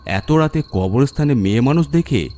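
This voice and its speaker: A man's voice: mid-range voice, expressive, moderate pace, warm tone. A man narrating a scripted horror story in a dramatic storytelling style.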